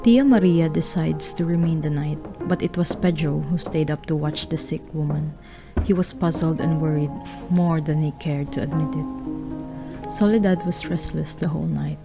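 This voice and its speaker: A woman's voice: sits low, moderate pace, vocal fry, music in the background. A woman narrating a radio drama, reading a scripted story.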